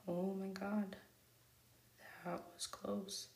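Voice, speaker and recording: A woman's voice: medium pitch, level tone, extremely slow, reverberant, recorded from a distance. A woman whispering as an exasperated burger cook.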